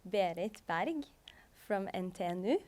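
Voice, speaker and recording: A woman speaking quietly and in measured phrases as she introduces the next presenter at an event. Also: mid-range voice, expressive. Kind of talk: formal introduction.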